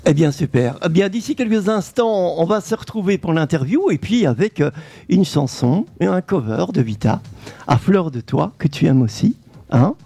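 A man's voice: on the high side, animated, normal speed, gravelly, dark tone, breathy edge, no room sound, soft background music. A man hosting a radio interview, asking questions in a friendly, chatty way. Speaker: radio host.

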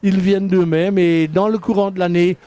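A man's voice: high voice, level tone, normal speed, warm tone, smooth. A man with a Liège accent, hosting a live outdoor radio broadcast and interviewing a guest, chatty and prone to restarts. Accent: Liège Belgian French. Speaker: radio show host. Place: outdoors at an event, windy. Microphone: headset.

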